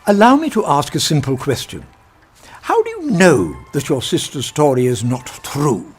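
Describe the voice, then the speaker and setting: An actor playing a kindly, logical old professor, speaking deliberately and formally in a radio drama. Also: male voice, on the high side, wildly swooping pitch, slightly rough, exaggerated voice. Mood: measured, probing. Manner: deliberate, formal, pedantic, gently exasperated.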